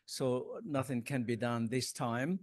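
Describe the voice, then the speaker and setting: Man, panelist, casual, agreeable, middle pitch, dry sound. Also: fairly even intonation, slow, no room sound.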